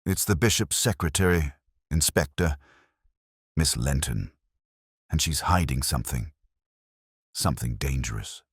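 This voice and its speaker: Male reader as frightened lady in a detective audiobook: guarded, urgent confider, low pitch, dry close sound. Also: a little slow, long pauses, slightly rough, no echo, heavily acted. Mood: fearful warning. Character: anxious, fearful married woman confiding a secret.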